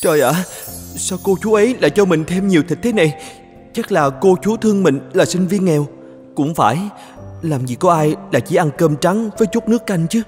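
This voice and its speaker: High-voiced, shy young male student. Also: expressive, a little fast, a little mellow, soft background music. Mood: surprised, touched. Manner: hesitant, deferential. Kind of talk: animated moral-tale dubbing.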